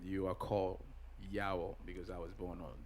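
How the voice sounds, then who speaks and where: Halting middle-aged man from Ghana. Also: low-pitched, level tone, a little mellow, slight background noise. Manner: halting, many pauses and restarts. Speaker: pastor and guest. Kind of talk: interview answers.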